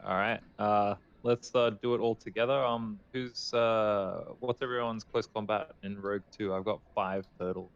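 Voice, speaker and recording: A man with a fairly deep voice chats at a tabletop game. He thinks aloud in a casual, hesitant way. The recording is slightly dull and lo-fi. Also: fairly even intonation, moderate pace, few pauses, smooth. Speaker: player in a tabletop role-playing game. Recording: dull, lo-fi microphone sound.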